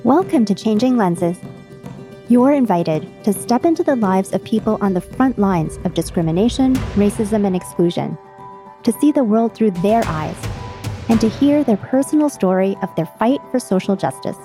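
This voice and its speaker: A woman's voice: mid-range voice, lively intonation, moderate pace, mellow, faint music behind. A woman hosting a podcast interview, speaking in an earnest, welcoming way. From China. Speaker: podcast host.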